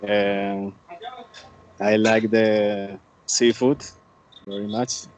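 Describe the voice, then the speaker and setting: Man in his thirties, Colombian Spanish accent, very slow, flat, low voice, muffled call audio with faint noise. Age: 30s. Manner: hesitant, many fillers and restarts. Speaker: student. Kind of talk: self-introduction in a class video call. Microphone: video call.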